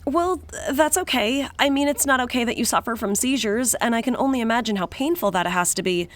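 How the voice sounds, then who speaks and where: A woman's voice: a little high, lively intonation, brisk, lightly acted. An actress playing an anxious, caring young woman in a horror audio story. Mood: worried, caring.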